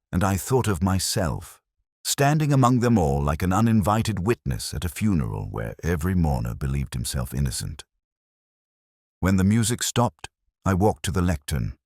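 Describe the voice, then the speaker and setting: A man narrating a scripted first-person detective story in a measured, solemn, literary manner. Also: low-pitched, a little mellow, dry recording, studio quality.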